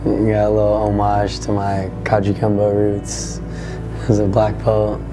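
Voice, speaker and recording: Hoarse man in his 20s, low voice, halting, music underneath. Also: monotone. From California. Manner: halting, searching for words. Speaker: boxer. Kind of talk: interview. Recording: with background music.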